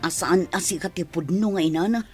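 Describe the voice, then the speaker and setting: A woman's voice: lowish voice, brisk, soft background music, close to an everyday voice. A woman with a Filipino accent acting a blunt, prying, money-minded woman in a radio drama.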